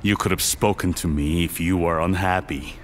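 Older male chaebol patriarch, played by an actor, deep voice, formal, measured, composed, audio drama. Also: fairly even intonation, a little hiss or hum, close to an everyday voice. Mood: stern, betrayed. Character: powerful, composed older chaebol businessman and father.

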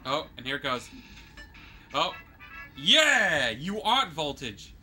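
A man co-hosting a game let's play, joking and teasing the player with animated commentary. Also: a little high, slow, soft background music. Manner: teasing, animated, exclaims and laughs often.

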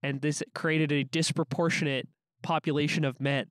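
Podcast co-host, male, twenties, American, slightly high voice, casual, dry close-mic sound. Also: little pitch movement, normal speed, fairly bright tone, dry recording. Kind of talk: casual chat. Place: outdoor rooftop deck. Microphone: handheld microphone held close.

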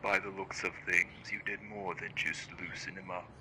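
A man's voice: low voice, flat delivery, normal speed, very noisy, lightly acted. A man playing a cold, curt interrogator who demands answers in an audio drama. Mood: cold, threatening. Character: cold, menacing, no-nonsense male interrogator. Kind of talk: audio roleplay acting.

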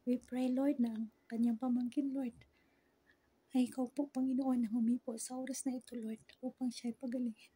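Husky, high-voiced Filipino woman in devotion. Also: level tone, moderate pace, warm tone, no room sound. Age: middle-aged. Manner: earnest, pleading, repeatedly addressing God. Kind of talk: devotional prayer and exhortation to viewers. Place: small indoor room. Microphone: phone at arm's length.

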